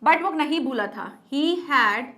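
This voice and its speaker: A woman teacher, teaching an online class. She dictates answers slowly as she writes, then explains them in Hindi mixed with English. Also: high-pitched, expressive, bright, forceful tone. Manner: slow dictation while writing, mixing Hindi and English.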